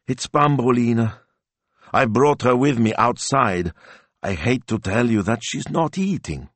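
A man's voice: mid-range voice, lively intonation, measured pace, a bit bright, no room sound, pitched above the performer's own voice. A man voicing a fretful male dog owner who pleads anxiously for help. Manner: anxious, pleading, hand-wringing.

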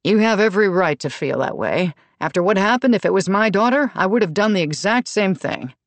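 A woman's voice: medium pitch, animated, fairly quick, no echo, pitched above the performer's own voice, natural voice. Female narrator as jovial man, agreeable, eager, playful dad, audiobook dialogue. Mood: placating.

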